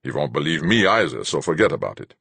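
A man voicing a gruff older ship captain, proud, stern and commanding, in an audiobook story. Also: extremely low pitch, sing-song, fast talker, a bit bright, no echo, exaggerated voice. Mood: sour. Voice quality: gruff, heavy.

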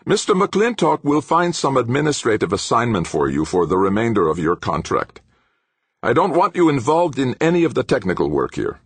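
A man's voice: on the high side, sing-song, fairly quick, slightly rough, no echo, pitched above the performer's own voice, full character voice. A man voicing an icy, indignant professor in an audiobook, with clipped, formal and imperious delivery. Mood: stern.

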